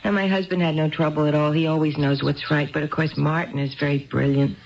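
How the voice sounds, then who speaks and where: A woman's voice: low voice, level tone, fairly quick, vocal fry, dull audio, a little hiss or hum. A woman playing a confused, insecure, chatty wife in a 1970s radio drama, hesitant and forever seeking reassurance. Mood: chatty, unsure. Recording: band-limited 1970s radio broadcast, faint music.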